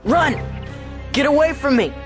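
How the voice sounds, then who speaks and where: A woman voicing a frightened, defiant young boy who fights possession, shrill and pleading. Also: expressive, unhurried. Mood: desperate. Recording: echoey, loud music underneath.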